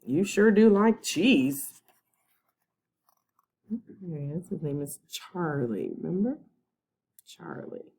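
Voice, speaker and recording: Low, mellow-voiced teacher reading aloud. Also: female voice, sing-song, takes it slowly, pauses often, slight background noise. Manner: friendly, chatty asides to young listeners. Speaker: first grade teacher. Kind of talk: reading a chapter book aloud to children.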